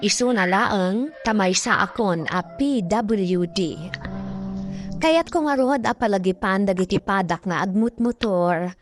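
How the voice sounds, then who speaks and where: Casting: woman as regretful young accident survivor, earnest first-person narrator, mid-pitched, music underneath. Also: animated, measured pace, close to an everyday voice.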